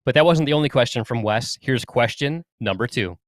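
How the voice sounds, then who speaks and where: A man's voice: mid-range voice, lively intonation, fairly quick, no room sound. A man co-hosting a podcast in easygoing chat, laughing often as he goes. His voice sits in a middle range. It is recorded dry and close. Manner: chatty, laughs easily. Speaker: podcast co-host.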